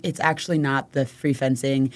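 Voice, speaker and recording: A woman's voice: rumbling low, steady tone, normal speed. A woman city council member in a casual panel conversation, explaining her view and thinking aloud with frequent fillers.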